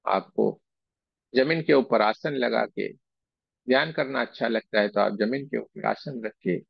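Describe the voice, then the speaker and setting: Male meditation guide, slow soothing repetition, gravelly low register, pauses between phrases, dull lo-fi close recording. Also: dry recording.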